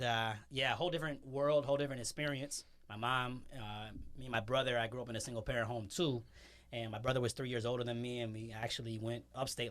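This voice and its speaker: A man's voice: mid-range voice, fairly bright tone. A man from New York hosting an interview, asking friendly, encouraging questions in a conversational way. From born in New York, grew up in Western New York. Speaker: interview host.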